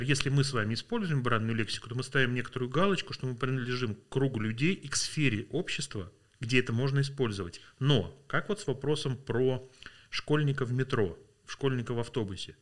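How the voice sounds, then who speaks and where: A man's voice: mid-range voice, a bit bright. A man from Russia, a linguist guest on a podcast, talking thoughtfully with many hesitations and sounding tired. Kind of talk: podcast interview.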